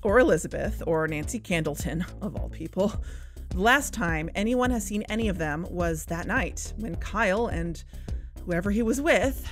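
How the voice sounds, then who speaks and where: A woman's voice: on the low side, lively intonation, moderate pace, natural voice. A woman playing an earnest, candid podcast co-host, speaking in a conversational scripted style. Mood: wry, serious. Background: background music.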